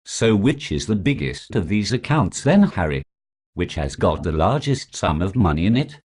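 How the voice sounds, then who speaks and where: An actor playing a foul-mouthed prosecuting lawyer who talks with sarcastic contempt. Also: male voice, deep voice, big pitch swings, moderate pace, a little mellow. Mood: mocking. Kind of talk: comedy sketch acting.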